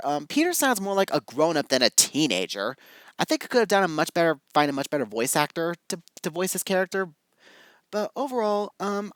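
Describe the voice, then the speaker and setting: A video reviewer of unclear sex giving informal, opinionated commentary to viewers in a halting, emphatic way. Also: mid-range voice, a bit bright, no room sound.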